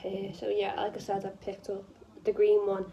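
A woman's voice: mid-range voice, monotone, moderate pace, highs cut off. A young woman with a northwest English accent chats casually to camera in a rambling, self-deprecating way, recorded on a phone at home. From northwest England. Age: young adult. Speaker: vlogger. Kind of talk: casual chat to camera while doing makeup. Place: indoors in a room at home. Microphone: phone propped close in front.

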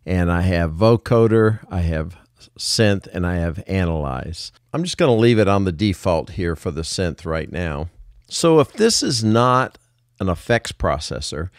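Male tutorial host, deep voice, dry close-mic sound, informal step-by-step explainer. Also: big pitch swings, measured pace, dry recording. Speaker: music production tutorial host. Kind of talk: casual software tutorial narration.

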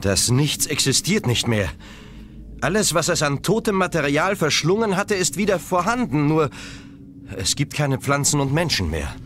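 An actor playing a brave, determined young hero in a dramatic, emphatic radio play style. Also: male voice, on the high side, very animated, moderate pace. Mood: thoughtful. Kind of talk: German children's sci-fi radio play acting. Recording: loud action noise behind the voice.

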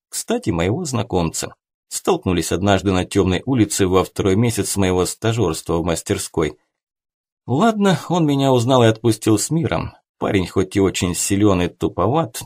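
Low-voiced male audiobook narrator. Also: a little mellow. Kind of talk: scripted first-person audiobook narration.

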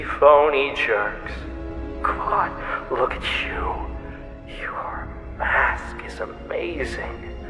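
An actor playing an unhinged, bitter, obsessive serial killer in an audio drama, halting and menacing, moving between breathy hush and outbursts. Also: male voice, mid-range voice. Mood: admiring, creepy. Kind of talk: theatrical audio drama monologue. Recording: echoey reverberant hall, faint music behind.

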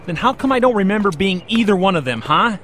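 Man playing animated, skeptical reluctant hero. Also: high-pitched, fast talker, slight background noise. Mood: defensive. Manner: questioning, skeptical. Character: doubting, stubborn male reluctant fantasy hero. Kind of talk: fantasy audio drama acting.

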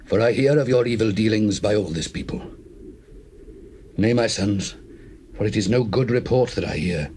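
An actor playing a very old priest and father in a dramatized scripture recording, speaking slowly and solemnly in reproach. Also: fairly low pitch, expressive, mellow, close to an everyday voice. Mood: grave reproach. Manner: slow, solemn. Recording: hissy old cassette recording.

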